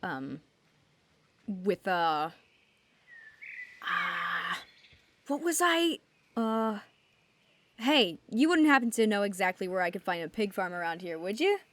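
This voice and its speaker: A woman's voice: medium pitch, very animated, takes it slowly, long pauses. A woman voicing a chatty, scatterbrained young man in a comic fan dub, with flowery, eager speech that wanders into hesitant tangents. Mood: nervous, rambling. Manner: verbose, theatrical, hesitant tangents.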